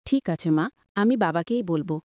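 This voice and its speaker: A woman's voice: fairly low pitch, animated, normal speed, a little mellow, no echo, studio quality, lightly acted. A woman voicing an eager, innocent little village girl in a cartoon story. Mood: hopeful. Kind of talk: Bangla cartoon story dubbing.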